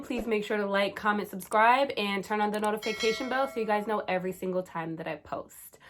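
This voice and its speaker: Young woman YouTuber, twenties, chatty and slangy, casual to-camera talk. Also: medium pitch. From Caribbean, raised in New Jersey. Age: 20s. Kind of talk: casual vlog chat.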